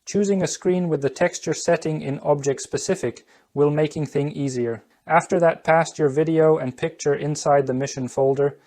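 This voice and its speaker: A woman's voice: low voice, fairly even intonation, moderate pace, few pauses, dark tone. A woman reading a scripted, promotional how-to narration as a tutorial narrator.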